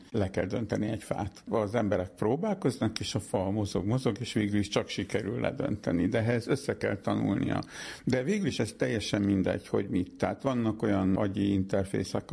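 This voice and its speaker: Gravelly low-voiced man explaining patiently. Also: dark tone. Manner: patient, explains step by step, corrects misconceptions. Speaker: psychologist guest. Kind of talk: explanatory interview answers.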